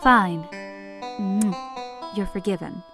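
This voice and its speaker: Motherly wife played by a woman, caring and firm, medium pitch, audio drama with plucked guitar underneath. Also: drawn-out words. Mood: mock stern.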